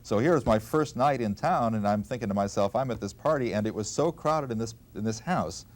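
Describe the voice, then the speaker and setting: An older man with a fairly low voice tells stories from memory in an unscripted way. He sounds like a radio veteran, pausing and picking up the thread as he goes. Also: lively intonation. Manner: halting, anecdotal, quotes past conversations. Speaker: radio veteran, former program director. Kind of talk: unscripted reminiscing, storytelling.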